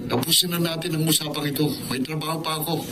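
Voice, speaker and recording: An actor playing an expressive young man in a Filipino romantic radio drama. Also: on the high side, quick pace, over loud music, close to an everyday voice. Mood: cold, irritated. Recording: radio drama with noisy ambience.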